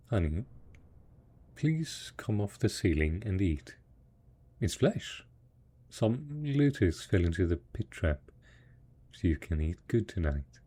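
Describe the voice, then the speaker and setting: A man's voice: sits low, mellow, breathy edge, heavily acted. A man playing a devoted post-apocalyptic husband in an intimate audio drama, speaking tenderly and patiently as he coaxes his monstrous spouse. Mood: coaxing, tender. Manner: tender, coaxing, patient, full of pet names.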